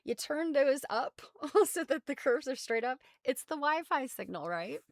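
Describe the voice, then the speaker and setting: A woman's voice: high voice, sing-song, measured pace, no echo, clean studio sound. An American woman hosting a casual craft livestream, explaining things in an informal, rambling way full of trailing asides. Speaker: host of a craft show. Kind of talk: casual livestream chat about quilts.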